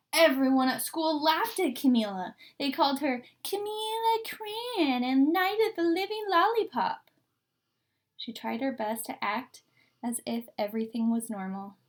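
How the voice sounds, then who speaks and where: A woman with a fairly high voice reads a picture book to children. Her delivery is expressive, and she stretches and stresses key words as a teacher would. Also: unhurried. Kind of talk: expressive children's read-aloud.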